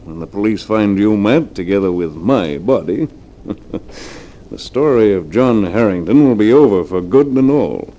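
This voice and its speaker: A ruthless, murderous rich schemer in an old radio drama. His voice is low and mellow, smooth and urbane, with a very animated rise and fall as he speaks in a coolly matter-of-fact way. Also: unhurried, highs cut off. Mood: coldly menacing.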